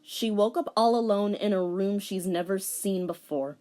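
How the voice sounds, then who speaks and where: A woman's voice: mid-range voice, normal speed, darkish tone. A woman dramatically narrating fan fiction, theatrical in her storytelling, with wry and casual asides. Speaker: fan fiction reader and commentator.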